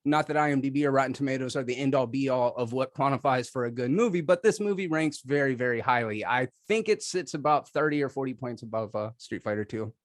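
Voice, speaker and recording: A man's voice: mid-range voice, quick pace, hardly any pauses, no echo, studio quality. A man debating on a movie show, making his case in a conversational, persuasive style. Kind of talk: conversational persuasive film argument.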